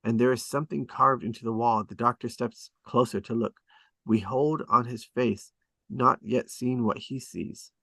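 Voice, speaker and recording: A man narrating screenplay stage directions at a table read in a measured, descriptive way, with short dramatic phrases. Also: mid-range voice, fairly even intonation, creaky, pushed and bright, no echo.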